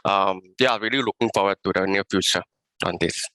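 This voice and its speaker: A man's voice: low-pitched, fairly quick, bright, forceful tone, dry recording. A man with a Singaporean accent, speaking casually as a panelist on a video call, chatty and full of tag words and restarts.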